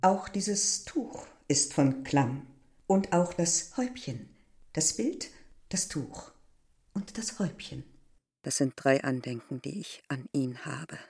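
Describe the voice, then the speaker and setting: A middle-aged innkeeper from a village, a proud and wistful woman. She speaks with grave, deliberate insistence in the manner of a literary radio drama, devoted to a love long past. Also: low-pitched, expressive, vocal fry, a little mellow, breathy edge, full character voice.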